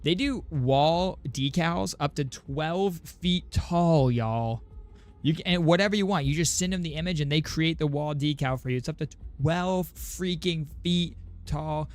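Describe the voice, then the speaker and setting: A man hosting a game livestream, chatting informally and directly to his viewers. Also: a little high. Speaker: game streamer and host. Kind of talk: casual livestream chat.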